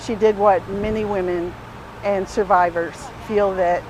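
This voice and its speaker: Woman protester, mid-range voice, speaking to press outdoors, clear traffic and street noise behind. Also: medium pitch, steady tone, a little slow. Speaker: protester, activist. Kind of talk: statement to a news reporter.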